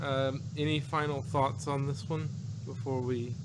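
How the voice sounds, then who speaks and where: A man's voice: mid-range voice, flat delivery, a little slow, background noise. A man co-hosting a casual, unscripted review chat, rambling and often trailing off mid-thought. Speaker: podcast co-host.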